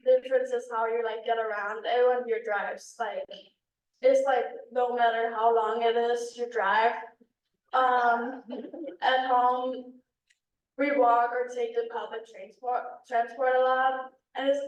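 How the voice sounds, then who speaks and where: A woman's voice: a little high, recorded from a distance, dull audio. Young Danish woman, echoey room. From Denmark. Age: young adult. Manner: chatty with many ums and likes. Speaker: exchange student. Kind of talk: casual talk at a club meeting. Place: meeting room.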